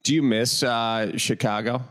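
A man in his thirties with a Wisconsin accent, hosting a casual chat, easygoing and halting as he asks questions. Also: mid-range voice, fairly even intonation, fairly bright tone. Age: 30s. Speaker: host.

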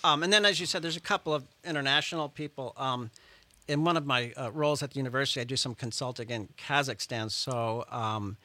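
Bright-voiced middle-aged male musician guest. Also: mid-range voice, fairly quick, no room sound. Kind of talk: casual radio interview chat. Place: radio studio.

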